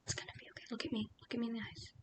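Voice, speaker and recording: A woman voicing a calm, patient female police detective, whispering softly and asking careful, soothing questions in an ASMR roleplay. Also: racing, natural voice. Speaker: interrogator. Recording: steady low rumbling noise.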